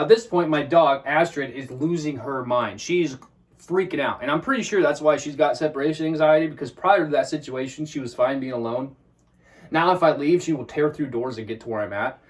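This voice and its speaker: A man with a high-pitched voice from Washington State, telling a story casually and agitatedly, swearing often and acting out what he said. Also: far from the mic. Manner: agitated, swears often, acts out his own quotes. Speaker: vlogger.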